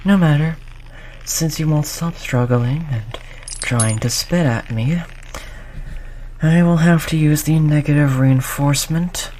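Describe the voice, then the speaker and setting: A performer of unclear sex playing a cold, condescending researcher who disciplines a captive test subject in a measured, mock-polite way, in an audio roleplay. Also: medium pitch, dark tone, a little hiss or hum, natural voice, low-quality recording. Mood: stern, condescending. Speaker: scientist running human experiments. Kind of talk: scripted audio roleplay drama.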